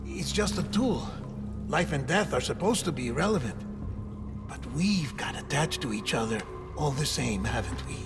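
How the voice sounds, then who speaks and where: A man's voice: fairly high pitch, lively intonation, normal speed, over music. An actor playing an anxious, lonely, eccentric man in a cinematic video game, wordy and hesitant, fretful one moment and tender the next. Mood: tender, sincere.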